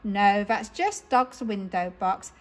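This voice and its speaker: A woman's voice: medium pitch, slow, lightly acted. A woman voicing an earnest, easily upset little male robot in a storybook, pleading and wailing. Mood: disappointed.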